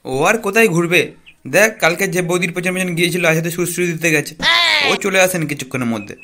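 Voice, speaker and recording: A man's voice: high-pitched, fast, sharp tone. A man voicing a sarcastic, irritable village gang elder in a comic cartoon, with a West Bengal Bengali accent and crude slang.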